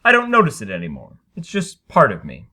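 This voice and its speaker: Man acting a weary, resigned husband, bright-voiced. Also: a little high, wildly swooping pitch, a little fast, exaggerated voice. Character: weary, resigned, law-abiding husband and father.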